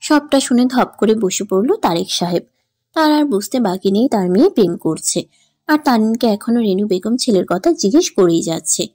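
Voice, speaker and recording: A woman reads a dramatic story as narrator. Her voice is medium in pitch with a soft, mellow tone, and the recording is close and dry. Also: lively intonation, moderate pace, no echo.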